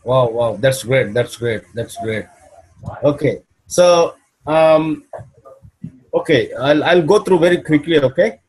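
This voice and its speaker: A man's voice: on the high side, takes it slowly, pauses often, a little mellow, rough recording. A man coaching someone over a video call, explaining steps patiently and checking in with "okay?" after each point. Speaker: business mentor.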